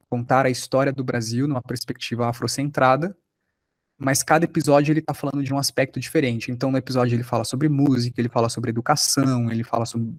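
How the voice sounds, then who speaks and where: A man's voice: mid-range voice, dry recording. A man with a Brazilian accent giving an informal online lecture as a workshop instructor.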